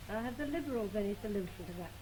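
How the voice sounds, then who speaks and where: Housewife asking a formal question, average pitch, old noisy fifties radio type recording. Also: female voice, expressive, racing. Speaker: housewife voter putting questions. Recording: noisy 1950s archive broadcast recording.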